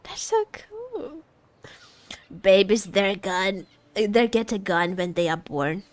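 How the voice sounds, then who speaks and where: A woman's voice: medium pitch, sing-song, takes it slowly, no room sound. A woman streaming as a VTuber, chatting casually about a game in a teasing, joking, giggly way. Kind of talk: casual livestream chat reacting to a game.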